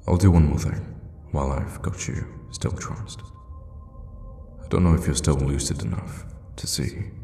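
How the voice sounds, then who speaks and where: Man playing a very deep, gravelly sadistic vampire. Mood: musing, cold. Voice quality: very deep, gravelly, breathy half-whisper. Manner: slow, hushed, menacingly tender with pet names. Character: sadistic, teasing male vampire captor, centuries old. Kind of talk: ASMR audio roleplay, intimate monologue. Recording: long reverb, faint background noise.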